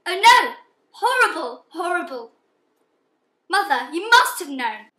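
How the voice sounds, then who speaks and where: A woman's voice: very high-pitched, sing-song, unhurried, bright, forceful tone, exaggerated voice. A woman acting a sensitive, horrified young upper-class daughter in an amateur radio play, emotional and pleading. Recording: roomy, echoing amateur recording.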